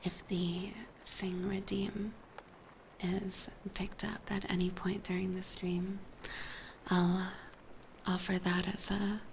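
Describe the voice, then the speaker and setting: A woman speaks in a soft, breathy whisper with a creaky edge, very close to a binaural microphone. She talks slowly and haltingly, as in casual ASMR stream chat. A light bed of ambient noise sits behind her. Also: mid-range voice, poor audio. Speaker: ASMR streamer, virtual YouTuber.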